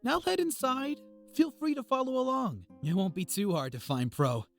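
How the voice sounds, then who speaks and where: A man's voice: extremely high pitch, normal speed, gravelly, faint music behind. A man voicing an assured, friendly beast-folk mountain guide in a visual novel game, breezy and reassuring.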